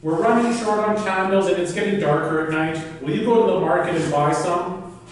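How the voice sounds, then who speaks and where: A man's voice: high-pitched, brisk, a little hiss or hum, natural voice. A man voicing an inquisitive, fussing older wife in a folk tale. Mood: curious. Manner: questioning, fussing. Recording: very echoey room, distant mic.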